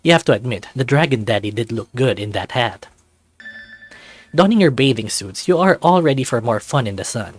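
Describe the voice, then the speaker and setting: A man reading a visual novel aloud as its narrator, in an expressive storytelling style. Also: mid-range voice, moderate pace.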